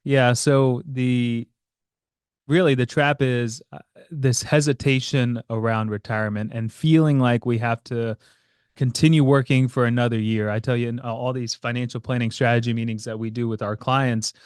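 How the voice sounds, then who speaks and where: A man co-hosting a podcast as a financial planner, explaining in a casual, halting way with many fillers. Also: medium pitch, steady tone, darkish tone, smooth, no echo, clean studio sound. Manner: hesitant, many fillers and restarts.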